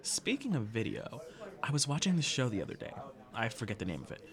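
A man acting as a playful, chatty teenage best friend, animated and teasing, in a fiction podcast. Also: mid-range voice, fairly quick, a crowd in the background. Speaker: voice actor.

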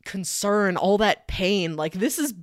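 A woman's voice: medium pitch, animated, measured pace, no room sound, studio quality. A woman co-hosting a film podcast, talking casually in a chatty, analytical way. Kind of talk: casual movie discussion.

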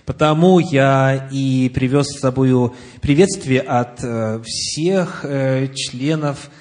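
A man with a medium-pitched voice works as a live interpreter at a church address. He delivers the message phrase by phrase, hesitating now and then as he finds the wording. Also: slow pace, a little mellow. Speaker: consecutive interpreter.

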